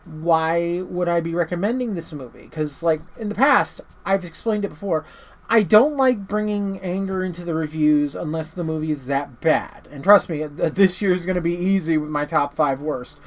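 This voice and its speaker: A man's voice: a little high, normal speed. A man hosting a casual, unscripted review, rambling and often stammering and interrupting himself as he talks. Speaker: host of a horror movie review channel.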